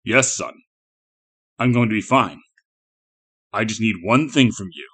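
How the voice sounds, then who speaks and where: A man's voice: lowish voice, unhurried, fairly bright tone, husky. A man voicing a menacing plastic monster that poses as a father, moving between false soothing and snarling demands. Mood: falsely soothing. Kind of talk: audiobook character acting.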